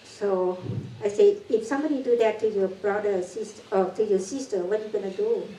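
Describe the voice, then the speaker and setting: An older woman from Cambodia with a Cambodian accent, giving a personal testimony talk in halting, broken English with nervous laughs. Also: mid-range voice, mellow. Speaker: guest speaker. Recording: echoey room, far from mic.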